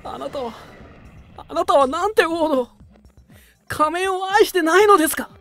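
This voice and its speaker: A man's voice: extremely high pitch, moderate pace, bright, forceful tone, exaggerated voice. A man playing an earnest, indignant schoolboy club junior who speaks formally and dramatically in an amateur voice drama. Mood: shocked, indignant.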